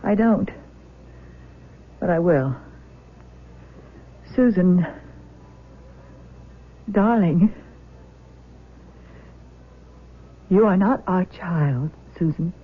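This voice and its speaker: An actress playing an anguished, tender older adoptive mother in a 1970s radio drama, halting and repeating her words. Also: fairly low pitch, expressive, slightly rough, full character voice. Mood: reluctant, gentle. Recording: dull lo-fi old radio broadcast.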